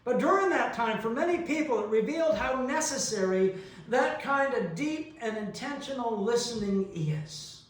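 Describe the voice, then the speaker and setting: A middle-aged man preaching a reflective sermon, deliberate in delivery, with long pauses and rhetorical questions. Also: very high voice, lively intonation. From New Brunswick, Canada. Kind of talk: reflective sermon with personal anecdotes. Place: large reverberant church interior. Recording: echoey church, picked up from a distance.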